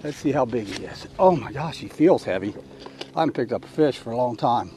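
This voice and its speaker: Excited middle-aged angler on a windy kayak. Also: male voice, medium pitch, a little fast. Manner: excited, talking to himself while fighting a fish. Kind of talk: live on-the-water commentary. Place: kayak on open water, outdoors, windy. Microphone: action camera mounted on the kayak. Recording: wind noise on action camera.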